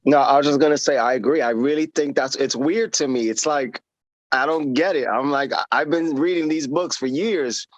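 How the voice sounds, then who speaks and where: A man guesting on a podcast, telling stories in a candid, humble and animated way. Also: on the high side, moderate pace, no echo, dull audio. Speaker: podcast guest, teacher and author. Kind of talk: casual interview chat.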